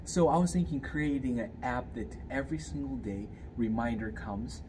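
A man's voice: medium pitch, normal speed. A man in his twenties from Fiji, answering interview questions in a hesitant way with many fillers and restarts. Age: 20s. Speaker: guest, student. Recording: distant mic, clear background noise.

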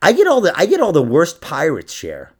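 A man's voice: fairly high pitch, huge pitch swings, a little fast, breathy edge. A man hosting a casual comedy podcast, joking and rambling as he chats with his guest. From New York.

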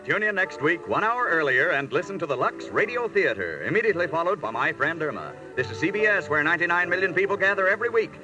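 A man's voice: on the high side, very animated, fast talker, few pauses, highs cut off, music in the background. A man announcing in 1940s radio style, with a polished, salesmanlike delivery.

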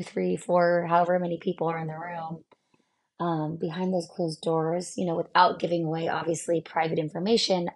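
A woman in her thirties, a therapist hosting her own podcast, talking reflectively with many pauses and fillers while she is losing her voice. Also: fairly low pitch. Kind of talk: solo podcast talk.

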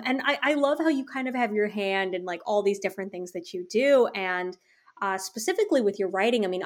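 A woman interviewing an author, chatty and enthusiastic, with many "like" fillers. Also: medium pitch. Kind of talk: interview conversation.

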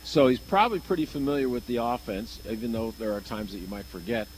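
A man giving unscripted football analysis as a radio color commentator, halting and repeating words as he thinks aloud. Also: medium pitch, slight background noise, poor audio.

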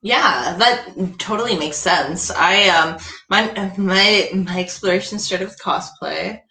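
A woman hosting a casual interview, upbeat and quick to laugh as she asks questions. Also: medium pitch, bright, forceful tone, slight background noise. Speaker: host asking questions. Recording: roomy, echoey recording.

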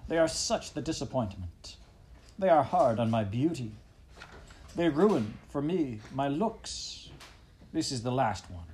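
A man's voice: medium pitch, very animated, measured pace, a little mellow, a little hiss or hum, voice pushed up. A man voicing a vain, dramatic French mother mouse with a put-on French accent, theatrical and self-pitying. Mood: haughty.

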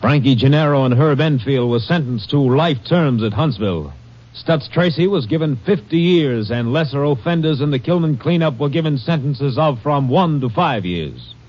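A man's voice: mid-range voice, animated, normal speed, lo-fi sound. A man narrating a 1950s radio crime drama in a formal scripted style.

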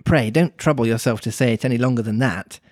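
A man's voice: mid-range voice, quick pace, a little mellow, no echo, studio quality, close to an everyday voice. Man reading as a polite, prim young girl. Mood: polite, doubtful. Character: polite, curious little girl, a bit sharp.

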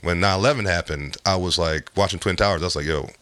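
A man's voice: deep voice, fast talker, a bit bright, no echo. A man in his thirties telling a personal story in casual conversation, rambling with many restarts. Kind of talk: casual chat.

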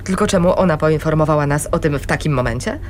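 A woman's voice: deep voice, quick pace, darkish tone, a little hiss or hum, natural voice. An actress playing an indignant, anxious middle-aged mother who argues defensively in a radio drama. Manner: defensive, incredulous.

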